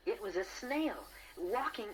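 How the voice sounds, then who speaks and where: A man's voice: very high voice, expressive, measured pace. A man voicing a friendly, earnest young bear who tells his adventure in a children's read-along storybook. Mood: earnest, curious. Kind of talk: 1980s children's read-along narration and dialogue. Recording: very noisy old cassette transfer.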